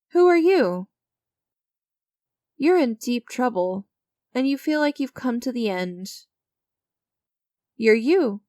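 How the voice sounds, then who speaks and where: A woman playing an enigmatic, creepy stranger in a dramatic ASMR-style audio roleplay, teasing and condescending, with deliberate theatrical pauses. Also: sits high, no echo, clean studio sound. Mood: probing, ominous. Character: enigmatic, creepy female stranger, sly and knowing deal-maker.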